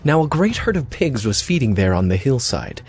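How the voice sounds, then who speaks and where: A man's voice: medium pitch, sing-song, moderate pace, breathy edge, soft background music. A man reading scripted narration for a dramatized audio Bible.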